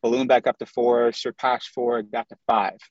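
A man's voice: mid-range voice, little pitch movement, normal speed, darkish tone, no room sound. Male, 30s, from the Midwest, candid interview guest, muffled video-call audio. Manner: candid, storytelling, lists things in runs.

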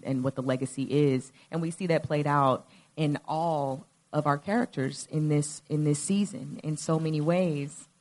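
A woman on a panel speaking thoughtfully, often pausing to search for words. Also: deep voice. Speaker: actor on a panel. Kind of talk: reflective panel answer.

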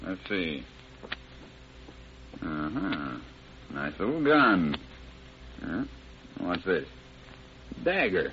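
An actor playing a brusque, commanding police officer in an old radio crime drama. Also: male voice, deep voice, sing-song, extremely slow, pauses often, exaggerated voice. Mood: gruff, searching. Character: tough, no-nonsense male police officer. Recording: muffled old radio recording with hiss.

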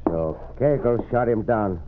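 A man's voice: fairly low pitch, animated, measured pace. A man playing an earnest, heroic gunfighter in a radio western, speaking formal, careful English with a Mexican accent. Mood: concerned. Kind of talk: old-time western radio acting. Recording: noisy, narrow-band vintage radio recording.

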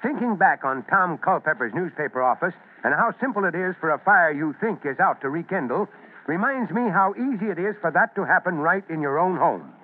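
Folksy fire chief, tinny radio. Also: male voice, a little high, expressive, a little fast. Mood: friendly instructive. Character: steady, folksy veteran fire chief, a man. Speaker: actor. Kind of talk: old-time radio serial acting. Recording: tinny, band-limited old radio broadcast.

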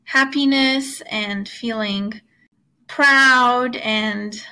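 A woman's voice: fairly high pitch, steady tone, very slow, pushed and bright. A woman whose first language is Russian, telling her own story in an interview in an earnest, encouraging way. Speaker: guest, author and teacher. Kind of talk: conversational interview.

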